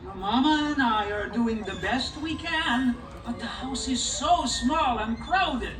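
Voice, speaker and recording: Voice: mid-range voice, slow pace, fairly bright tone, a little hiss or hum. A performer playing a harried, good-natured village father in a live puppet show, exclaiming and pleading in comic exasperation. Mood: exasperated, delighted. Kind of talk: live puppet show folk tale acting. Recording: echoey live show, distant mic.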